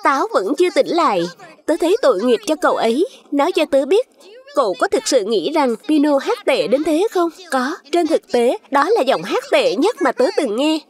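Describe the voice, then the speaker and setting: A woman's voice: high voice, sing-song, normal speed. A woman voicing a small childlike fruit creature, earnest and outspoken, in a children's cartoon dub. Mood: concerned.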